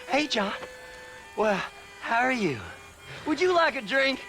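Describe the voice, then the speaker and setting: An actor playing a crazed, gleefully unhinged grave-robbing treasure hunter in a western video game, with an American Southern accent, a cackling voice and a manic, taunting manner. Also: male voice, sing-song, takes it slowly, music in the background, heavily acted, poor audio. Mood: giddy. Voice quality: high, creaky, cackling voice. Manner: manic, taunting, swinging between mutters and shrieks.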